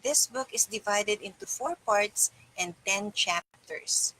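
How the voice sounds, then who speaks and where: A woman's voice: medium pitch, takes it slowly, bright, forceful tone, slightly rough, low-quality recording. A middle-aged woman reading aloud for a live stream, with casual asides to her viewers. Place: small indoor room with bare wall.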